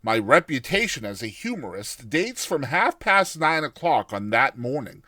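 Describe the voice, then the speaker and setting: A man narrating a classic short story in a theatrical, expressive first-person style, like a dramatized audiobook. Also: medium pitch, normal speed, no room sound, clean studio sound.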